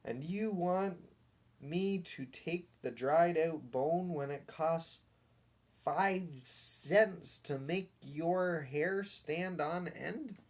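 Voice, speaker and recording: A man's voice: on the high side, drawn-out words, pitched above the performer's own voice, exaggerated voice. Man playing a sneering, shifty showman. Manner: scornful, haggling, theatrical. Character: shifty, sneering, sharp-tempered male peep-show showman. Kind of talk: children's storybook read aloud.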